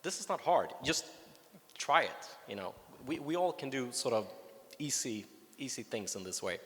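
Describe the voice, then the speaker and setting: A man's voice: mid-range voice, big pitch swings, normal speed, fairly bright tone. A man with a Swedish accent, a native Swedish speaker, giving a conference talk with enthusiasm and lots of fillers and restarts. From Sweden. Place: conference hall. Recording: reverberant hall.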